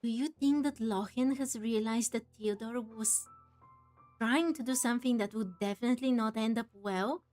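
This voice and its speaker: High-voiced woman playing a game. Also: moderate pace, darkish tone. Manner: wondering aloud, questioning. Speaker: game streamer. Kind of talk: casual playthrough commentary. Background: game music.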